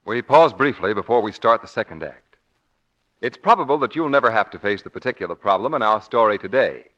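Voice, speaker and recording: A man's voice: fairly low pitch, lively intonation, a little fast, fairly bright tone. A man announcing in the polished, emphatic sales style of a 1940s radio commercial. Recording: muffled 1940s radio recording.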